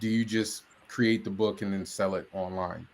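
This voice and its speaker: A man hosting a podcast in a loud, carrying voice. He rambles chattily, full of fillers, and keeps the talk light. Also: low-pitched, steady tone, moderate pace. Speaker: podcast host. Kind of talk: casual podcast chat.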